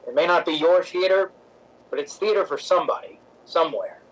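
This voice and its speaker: A man as a brash, higher-voiced stage director. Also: fairly quick, lightly acted, low-quality recording. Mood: passionate lecturing. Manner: wisecracking, emphatic, lecturing. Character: brash, teasing, opinionated male stage director. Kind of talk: recreated 1950s radio drama acting.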